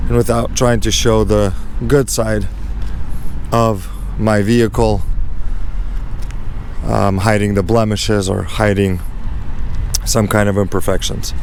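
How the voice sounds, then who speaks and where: A man with a lowish, slightly raspy voice that sounds a bit under the weather presents a casual car walk-around. His delivery is earnest and unhurried, with very little rise and fall. Some outdoor vehicle and street noise sits behind him. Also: darkish tone. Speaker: host of a car channel.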